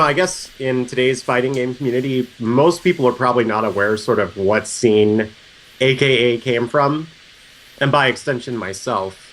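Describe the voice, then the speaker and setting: A man in his thirties from Missouri who has a cold that deepens his voice, telling stories on a podcast in a loud, chatty, joking way. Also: a little hiss or hum, poor audio. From Columbia, Missouri. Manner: loud, chatty, jokey storyteller. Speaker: podcast co-host. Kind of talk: casual podcast chat.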